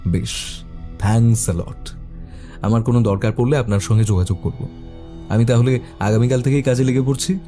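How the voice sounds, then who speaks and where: A man voicing a calm, courteous private detective in an audio story, measured and quietly probing. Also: mid-range voice, faint music behind, natural voice. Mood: polite, focused. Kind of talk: audio story character acting.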